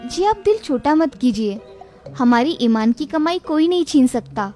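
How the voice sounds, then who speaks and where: A woman acts out a village housewife in a cartoon fable. Her voice is high-pitched, with a caring, devout manner. Faint music plays behind her. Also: expressive, a little slow, mellow. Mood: consoling. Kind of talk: cartoon moral-story dialogue.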